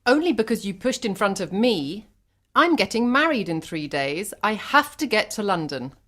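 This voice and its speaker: Feisty Irish female, late twenties, audiobook dialogue, argumentative and very animated. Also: mid-range voice, moderate pace. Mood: indignant. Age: 20s.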